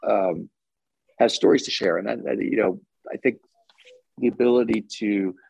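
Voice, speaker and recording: A man from France gives a casual interview in a fairly low, husky voice, recorded close and dry. He feels his way through his thoughts haltingly and often chuckles along. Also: steady tone, a little mellow, no room sound, rough recording. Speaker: interview guest, singer-songwriter and actor.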